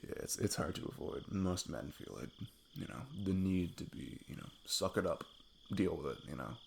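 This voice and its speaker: Man acting a low, gravelly, tender best friend. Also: big pitch swings, a little hiss or hum, exaggerated voice. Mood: earnest, rambling. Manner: halting, self-deprecating, chuckling rambler. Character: sweet, nervous male best friend confessing his love. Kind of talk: intimate ASMR roleplay monologue.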